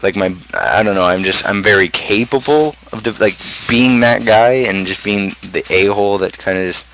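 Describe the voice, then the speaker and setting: A man talking casually as a streamer, explaining his own lyrics in a halting way, often restarting his phrases. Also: lowish voice, a bit bright, rough recording. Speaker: streamer and rapper.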